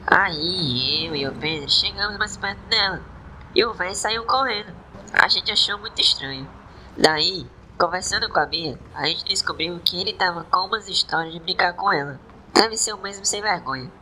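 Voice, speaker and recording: A woman's voice: on the low side, normal speed, pushed and bright, slight background noise, low-quality recording. A woman playing an earnest, concerned schoolboy in a radio soap, speaking colloquially with a Northeastern Brazilian accent.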